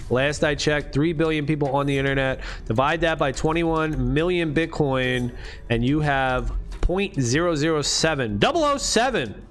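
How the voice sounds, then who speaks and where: A man in his late thirties, chatting casually on a live stream in loose, profane slang full of "dude" and "bro". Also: a little high. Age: late 30s. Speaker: livestream host.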